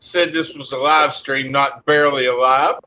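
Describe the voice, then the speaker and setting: A man's voice: mid-range voice, fairly even intonation, normal speed, sharp tone. A man in his fifties on a live stream panel, joking and teasing in casual chat, laughing often. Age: 50s. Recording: dull lo-fi stream audio.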